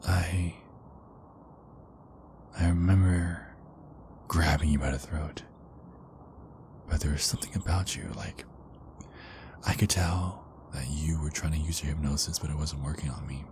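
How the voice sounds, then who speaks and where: A man playing a big, affectionate werewolf boyfriend in an intimate ASMR roleplay, murmuring hesitantly and often slipping into breathy whispers. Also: a bass voice, steady tone, a little slow, long pauses. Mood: soft, nostalgic. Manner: hesitant, rambling, murmured pillow talk. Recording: clearly noisy background ambience.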